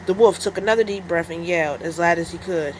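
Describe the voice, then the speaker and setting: A woman storyteller narrating a children's story in an expressive, deliberate read-aloud style. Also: fairly low pitch, slight background noise, poor audio.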